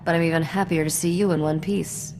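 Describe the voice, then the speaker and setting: A woman's voice: fairly low pitch, fairly even intonation, a little fast, a little mellow, in a large hall, slight background noise, lightly acted. An actress playing a shrewd, self-assured woman mechanic among space smugglers, with a dry, sardonic manner, in a video game. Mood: wry. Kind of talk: video game cutscene acting.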